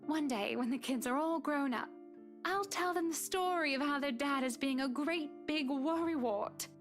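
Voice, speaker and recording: A very high, sweetly reassuring young wife voiced by an actress speaks as if in a story game. She is gentle and cheerful, chiding playfully. Soft music sits behind her. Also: moderate pace, close to an everyday voice. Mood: soothing, teasing. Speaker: voice actor in a visual novel game. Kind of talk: video game dialogue acting.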